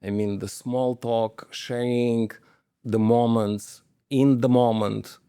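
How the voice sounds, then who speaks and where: A man's voice: medium pitch, fairly even intonation, takes it slowly, dark tone, clear, resonant tone, dry recording, clean studio sound. A man, a company manager telling stories in an interview, hesitant, with many fillers and restarts. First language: Romanian. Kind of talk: conversational interview storytelling.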